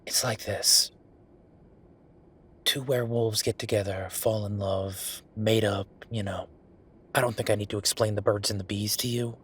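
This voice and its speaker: A man's voice: lowish voice, steady tone, moderate pace, slightly rough. A man playing an angry, bitter werewolf boyfriend who vents with sweary sarcasm in a dramatic audio roleplay monologue. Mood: exasperated. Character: angry, exasperated male werewolf boyfriend, bitter office worker.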